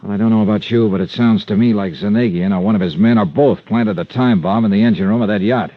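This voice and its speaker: An actor playing a hard-boiled, impatient American investigator, brisk and blunt, in the style of old radio detective drama. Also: male voice, fairly low pitch, lightly acted. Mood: alert, reasoning. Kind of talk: 1940s-50s radio detective acting. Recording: dull vintage radio recording.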